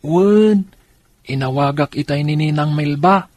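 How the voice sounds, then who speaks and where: A woman's voice: low voice, measured pace, a little mellow, no room sound. A woman acting an earnest young grandchild in a radio drama, with an Ilocano accent. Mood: conspiring.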